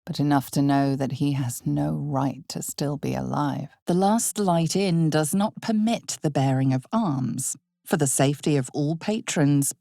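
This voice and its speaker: Female narrator for dark fantasy game: ominous, knowing, low and gravelly, measured dramatic delivery, close dry recording. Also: no echo, heavily acted. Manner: measured, dramatic delivery, often dropping to breathy near-whisper. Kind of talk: scripted second-person fantasy game narration.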